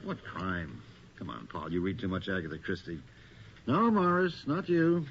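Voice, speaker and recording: An actor playing a gruff, sceptical, wisecracking police detective in a radio drama, sardonic and grumbling. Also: male voice, low voice, big pitch swings, moderate pace, a bit bright, full character voice. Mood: irritated, wry. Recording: muffled, noisy radio drama recording.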